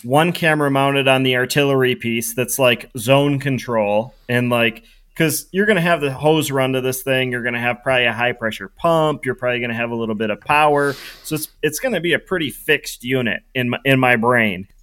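A man brainstorming casually on a podcast in an animated, playful way. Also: mid-range voice, brisk, hardly any pauses, clean tone. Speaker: podcast panelist pitching an invention. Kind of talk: casual excited brainstorming chat.

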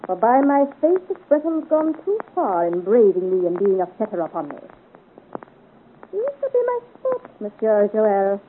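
A woman's voice: medium pitch, very animated, moderate pace, a little hiss or hum, close to an everyday voice. A woman playing a haughty, scheming French noblewoman villain in a 1950s radio serial, imperious and theatrical in her commands. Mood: scheming resolve. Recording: muffled vintage radio serial recording.

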